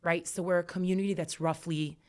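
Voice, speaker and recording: A woman's voice: on the low side, steady tone, a little fast, a little mellow. A woman in her thirties, an educator guest on a podcast, talking reflectively and emphatically with many fillers. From United States. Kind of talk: earnest podcast conversation.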